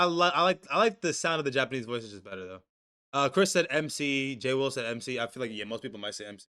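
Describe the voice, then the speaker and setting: A man's voice: mid-range voice, pushed and bright. A young man co-hosting a casual anime discussion stream, profane and loose, reading chat messages aloud and restarting his sentences. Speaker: stream co-host.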